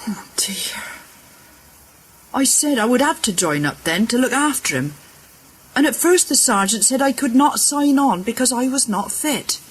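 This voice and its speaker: A middle-aged woman playing a fretful, tender village mother of a soldier in a radio play, with a husky voice. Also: on the high side, animated, unhurried. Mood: sorrowful.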